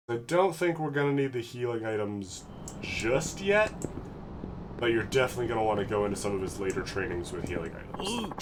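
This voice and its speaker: A man with a nasal voice, still getting over a cold, giving relaxed and rambling let's-play commentary as the streamer. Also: fairly low pitch, background noise. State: recovering from a cold. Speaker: game streamer.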